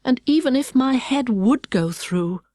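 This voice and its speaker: A woman's voice: high voice, expressive, measured pace, mellow, no room sound, clean studio sound, pitched above the performer's own voice. A woman voicing a curious, earnest little girl in a storybook, politely talking to herself. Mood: wistful.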